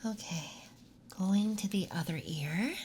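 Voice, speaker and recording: A gentle, reassuring ASMR performer playing a nurse, speaking softly and breathily through a soothing medical exam roleplay. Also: medium pitch, a little slow, a little hiss or hum. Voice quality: soft, breathy whispering.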